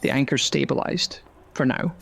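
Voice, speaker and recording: A man's voice: medium pitch, normal speed, gravelly, fairly bright tone, breathy edge. A man voicing a magical companion who gives clipped, intense technical reports in an audio drama. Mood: subdued. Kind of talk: scripted audio drama acting.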